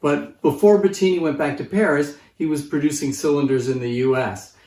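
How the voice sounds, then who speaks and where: A man's voice: fairly high pitch, fairly even intonation, brisk, dark tone. A man in his fifties presenting informally to camera as a collector, explaining things in a conversational way. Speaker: presenter and collector of antique phonographs. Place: living room, seated on a sofa. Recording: roomy, distant microphone.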